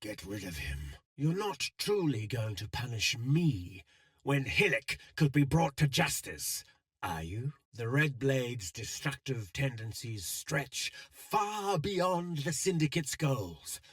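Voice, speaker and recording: An actor playing a calculating, world-weary male schemer in a video game, speaking in measured, eloquent, cryptic phrases. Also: mid-range voice, big pitch swings, fairly bright tone, no room sound, studio quality, heavily acted. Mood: contemptuous, then grave, persuasive. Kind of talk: scripted game dialogue, theatrical.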